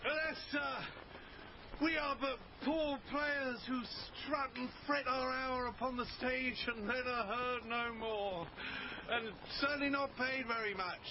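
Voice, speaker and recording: A man's voice: extremely high pitch, fairly even intonation, measured pace, lo-fi sound, noisy background. A man playing a grandiloquent theatrical father in a radio drama, florid and declamatory in manner. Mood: grand, pleading. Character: grandiloquent theatrical father, head of a troupe of players.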